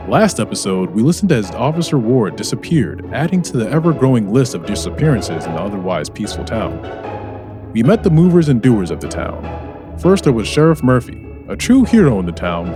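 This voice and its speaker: A man hosting and narrating a scripted radio drama in a theatrical announcer style. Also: mid-range voice. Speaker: host and narrator. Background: music bed.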